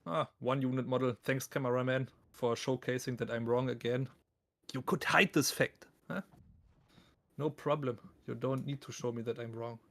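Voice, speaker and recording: A man's voice: mid-range voice, steady tone, moderate pace, creaky. A man with a thick German accent doing live esports commentary on a game, casual, joking and self-deprecating as he talks to his viewers.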